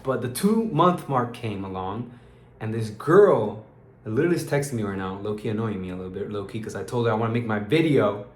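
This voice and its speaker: A man's voice: on the low side, animated, brisk. Rambling young man, echoey room. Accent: American English. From Peru. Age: young adult. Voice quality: rambling, restarts often, uses slang like dude and bro. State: tired. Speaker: vlogger. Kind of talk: casual diary vlog.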